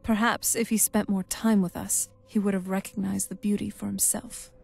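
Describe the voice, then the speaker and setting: A woman's voice: mid-range voice, level tone, normal speed, vocal fry, a little mellow, slightly rough, faint music behind. An actress playing a wistful, young-looking vampire noblewoman in a video game, speaking in a conversational way. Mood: reflective. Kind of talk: video game character dialogue.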